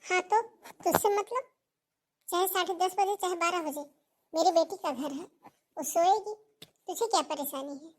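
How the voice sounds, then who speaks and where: Woman doing scheming older auntie in a cartoon, high voice, scolding and taunting. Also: moderate pace, pauses often. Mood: scornful scolding. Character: scheming, scornful older mother of the sister-in-law. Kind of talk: Hindi cartoon moral story voice acting.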